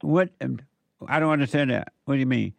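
A man's voice: mid-range voice, a little fast, creaky, no room sound. A man hosting a radio call-in show, questioning the caller in a blunt, challenging way with short repeated questions. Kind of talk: call-in debate, probing questions.

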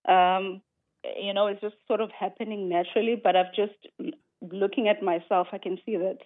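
A young woman calling into a radio show, talking hesitantly and softly. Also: mid-range voice, steady tone, normal speed. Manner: hesitant, soft-spoken. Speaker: caller. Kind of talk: call-in radio talk. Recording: phone line.

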